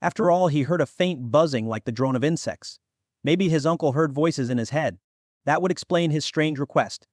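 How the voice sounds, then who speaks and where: A man's voice: fairly high pitch, normal speed, darkish tone, dry recording, studio quality. A man reading scripted fantasy fiction as an audiobook narrator.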